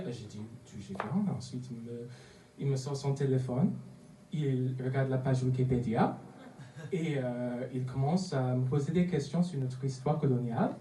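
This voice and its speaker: Playful Trinidadian-accented young man on stage. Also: medium pitch. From Trinidad and Tobago. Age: young adult. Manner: playful, self-mocking, laughing through lines. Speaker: stand-up comic. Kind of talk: stand-up comedy set. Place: comedy club stage. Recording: echoey club from far off, loud noise.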